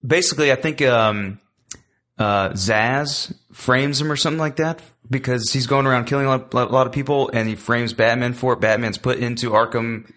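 A man's voice: medium pitch, fairly even intonation, normal speed, fairly bright tone. A man guest hosting a podcast, telling a story hesitantly with frequent restarts. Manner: hesitant, restarts often while recalling. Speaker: guest host. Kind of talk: casual chat.

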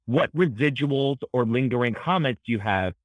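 A high-energy male expert guest with a mid-range voice and a dry, close sound. He explains concepts conversationally, articulate and emphatic, like an educator in an interview. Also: animated, moderate pace, no room sound, narrow, tinny audio. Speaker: podcast guest, author and psychology educator.

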